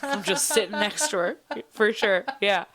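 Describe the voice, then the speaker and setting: A woman from Ireland with an Irish accent, chatting casually and affirming with quick yeses. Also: mid-range voice, expressive, crowd noise. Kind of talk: casual chat.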